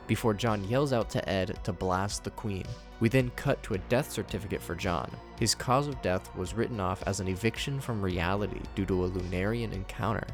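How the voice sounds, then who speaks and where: A man hosting a video recap, narrating scripted commentary on horror episodes. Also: on the low side.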